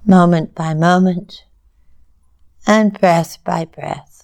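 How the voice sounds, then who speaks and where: A woman teaching a guided meditation in a calm, measured way, with long pauses between short phrases. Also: lowish voice, vocal fry.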